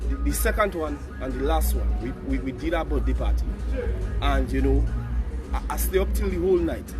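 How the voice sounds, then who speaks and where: A Grenadian man with a voice hoarse from a cold, joking and teasing viewers during a casual phone live stream, breaking into sung lines. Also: mid-range voice, expressive, brisk, over music.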